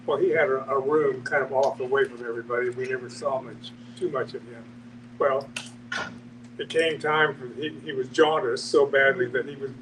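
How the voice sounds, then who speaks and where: Man, halting reflective storyteller, casual, repeats words, off-mic, clear background noise. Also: mid-range voice, fairly even intonation, recorded from a distance. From Texas, USA. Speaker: discussion participant.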